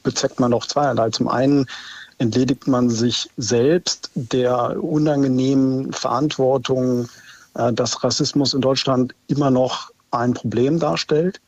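A man calling in to a radio show, making a firm, deliberate argument with many fillers. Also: mid-range voice, steady tone, a little mellow, no room sound. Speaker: caller. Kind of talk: phone-in opinion. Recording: dull phone line.

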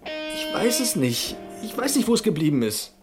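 A man's voice: high-pitched, sing-song, normal speed, music in the background. A man acting in a radio play as a weak, cowed employee, meek and evasive. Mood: scared, pleading. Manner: meek, evasive, stammering pleas. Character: weak, cowed male garage employee caught stealing.

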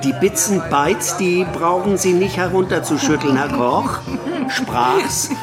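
A man's voice: high-pitched, a little slow, over loud music. An actor in a radio play playing a middle-aged man who tells things in an ornate, mock-pompous, hesitant way. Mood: mock-dramatic storytelling. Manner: ornate mock-pompous phrasing with stammered starts. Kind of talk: naturalistic radio play dialogue, anecdote telling.

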